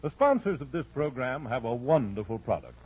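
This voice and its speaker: A man's voice: mid-range voice, big pitch swings, a little fast, a little mellow, a little hiss or hum. A man reading a scripted old-time radio sign-off as the show's announcer, with polished showmanship. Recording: muffled old radio broadcast recording. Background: faint organ music.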